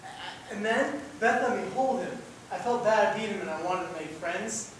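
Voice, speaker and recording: A man's voice: high voice, normal speed, natural voice. Casting: male student actor as an earnest, childlike young man, plain-spoken, eager to learn; roomy, distant stage recording. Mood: excited. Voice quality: ungrammatical, simple speech. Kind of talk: amateur student stage acting. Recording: echoey stage, distant mic, faint hiss.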